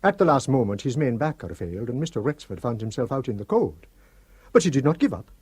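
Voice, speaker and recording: An actor playing an earnest Shetland father in a radio drama, speaking in a measured way. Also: mid-range voice, big pitch swings, darkish tone, husky, no room sound. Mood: explaining. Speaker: islander on the committee.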